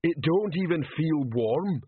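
Man voicing a slow, simple-minded troll sergeant, thin audio. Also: on the high side, expressive, slightly rough, dry recording, narrow, tinny audio, voice pushed up, full character voice. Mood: puzzled. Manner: halting, simple, ungrammatical speech. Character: slow-witted, earnest, mournful male troll sergeant.

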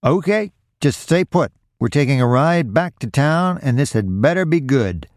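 Story role: man playing irate male victim demanding his due, accusing, confrontational, pitched up, dry recording. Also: animated, normal speed, no echo, natural voice. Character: angry, accusing male robbery victim on subway. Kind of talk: acted dialogue in a crime story reading.

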